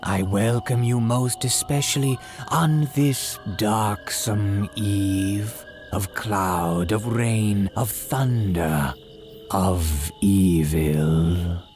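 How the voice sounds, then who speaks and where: Casting: sinister, humble old priest of a haunted cemetery, low and very drawn-out, old-time radio horror style. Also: male voice, darkish tone, soft background music. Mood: ominous welcome. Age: older. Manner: archaic, solemn, drawn-out dramatic delivery. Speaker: horror storyteller.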